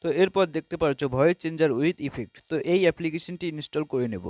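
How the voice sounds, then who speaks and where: A man from Bangladesh explaining a phone app step by step, starting nearly every sentence with the same filler in a calm, instructive way. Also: fairly high pitch, quick pace, no echo, studio quality. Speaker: tech tutorial channel host. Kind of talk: step-by-step app tutorial narration.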